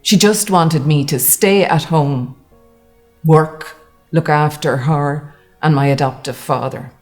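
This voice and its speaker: An actress playing a wounded older woman recalling a harsh childhood in a halting, sombre testimony. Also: sits low, darkish tone, soft background music. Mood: sombre, hurt. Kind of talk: dramatised first-person testimony monologue.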